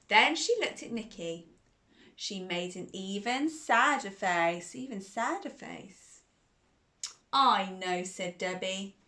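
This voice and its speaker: A woman's voice: medium pitch, a bit bright. A woman hosting a children's storytime and reading a bedtime story, exuberant and sing-song as she talks to young listeners, often repeating phrases. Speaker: storytime host.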